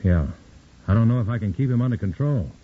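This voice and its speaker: An actor playing a tense undercover agent in 1950s radio drama style, clipped and guarded, on an old radio recording. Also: male voice, lowish voice, animated, fast talker, phone-quality sound, close to an everyday voice. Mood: tense, urgent. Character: tense undercover agent posing as a party man.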